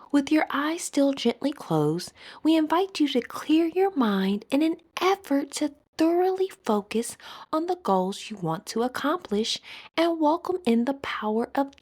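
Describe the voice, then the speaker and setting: A woman leading a scripted guided meditation in a soothing, measured manner. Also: high voice, a little mellow.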